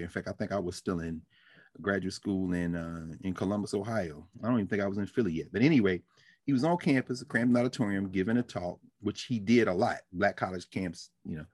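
A middle-aged man co-hosting a casual talk show, an animated storyteller who laughs easily and drops into low asides. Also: a little fast, breathy edge, no room sound, studio quality. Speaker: podcast co-host. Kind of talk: casual conversational discussion.

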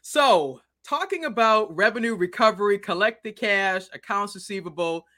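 A woman's voice: medium pitch, lively intonation, moderate pace, a bit bright. A woman from Boston, a guest in an interview, telling her story in a playful, chatty way. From Boston, USA. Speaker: guest expert.

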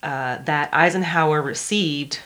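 Low-pitched woman explaining history casually. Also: a little slow, a bit bright. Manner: informal, explanatory, step-by-step. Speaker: podcast co-host narrating history. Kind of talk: conversational true history storytelling.

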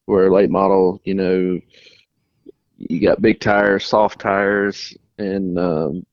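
Man, young adult, racing guest, relaxed halting talk, dry and slightly muffled sound. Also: low voice, no echo. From North Carolina. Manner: relaxed, halting, many restarts. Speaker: race car driver guest. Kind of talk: casual interview answers.

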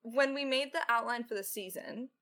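A woman's voice: a little high, normal speed, pushed and bright. A young woman speaking as a writer in a casual podcast chat, informal and jokey in manner. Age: young adult. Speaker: lead writer and voice actor answering questions.

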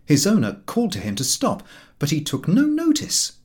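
A man narrating a humorous literary story from a script in an expressive storytelling manner. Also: on the high side, normal speed, breathy edge. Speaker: audiobook narrator.

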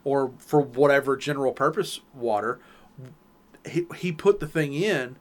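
A man's voice: mid-range voice, measured pace. Rambling Southern-accented man chatting. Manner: rambling, explains at length with restarts. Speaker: podcast co-host. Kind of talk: casual chat.

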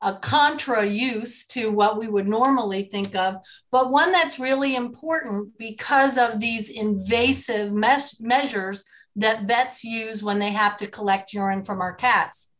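A woman's voice: fairly high pitch, measured pace, fairly bright tone. A woman hosting an interview podcast, reading a sponsor intro and then chatting with her guest with some fillers. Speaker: host.

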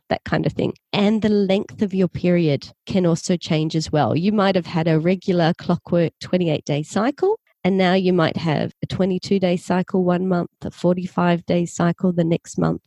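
A woman with an Australian accent, a naturopath guest who explains things clearly and patiently in an interview. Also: on the low side, normal speed, hardly any pauses, dark tone, no echo.

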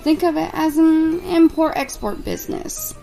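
An anxious, devoted fiancée speaks in a high voice in an audio drama. She is hesitant and evasive, as if guarding a secret. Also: normal speed, creaky, warm tone, faint music behind, low-quality recording. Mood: evasive, guarded. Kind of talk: scripted audio drama acting.

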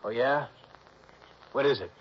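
An actor in an old radio drama playing a frightened, suspicious escaped convict who pleads in rough streetwise New slang. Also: male voice, mid-range voice, a little slow. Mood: defensive, wary. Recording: muffled, noisy 1960s radio broadcast.

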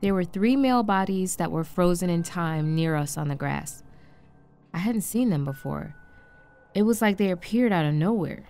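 A woman playing a shaken, compassionate wife in an audio drama, with halting, stammering, hushed delivery. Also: lowish voice, lively intonation, a little hiss or hum. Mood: uneasy recounting. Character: shaken, compassionate wife trapped in frozen time. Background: background music.

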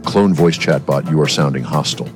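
A man's voice: a bass voice, brisk, over music. A male radio co-host with a stuffy cold, presenting a scripted segment in a wry, dramatic way.

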